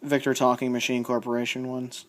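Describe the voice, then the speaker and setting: A man's voice: medium pitch, little pitch movement, a little fast. A man giving casual, unscripted show-and-tell commentary, rambling and thinking aloud as he reads labels out. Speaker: record collector showing a haul.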